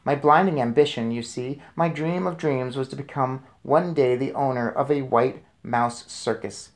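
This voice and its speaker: A man's voice: medium pitch, moderate pace. A man reading aloud and chatting enthusiastically as host of a children's read-aloud. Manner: chatty and enthusiastic, putting questions to young listeners. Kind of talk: storybook read-aloud with casual commentary.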